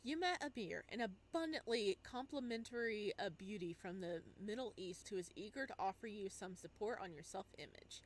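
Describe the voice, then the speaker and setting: A woman with a mid-pitched voice reads game text aloud as the host of a playthrough, adding casual reactions along the way. There is clear background noise under her voice. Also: normal speed, hardly any pauses.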